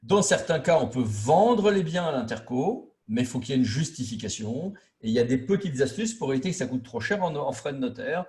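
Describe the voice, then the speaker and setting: A man's voice: medium pitch, expressive, brisk, hardly any pauses, darkish tone. A man giving an informal training lecture as a trainer, colloquial and didactic, stressing key words. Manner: colloquial and didactic, acting out imagined quotes. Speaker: trainer lecturing local officials on intermunicipal law.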